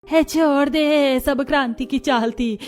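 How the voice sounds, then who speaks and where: A woman's voice: sits high, fairly even intonation, slow. A woman voicing a sly, venomous old aunt and scheming accomplice in a dramatized family story. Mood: cornered.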